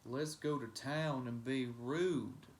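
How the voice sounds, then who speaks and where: A man's voice: mid-range voice, slow pace, lightly acted. A man voicing a rude, blustering troublemaker in a children's storybook. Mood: rowdy goading. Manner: loud, blustering. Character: rowdy, rude, mischief-making ruffian pals.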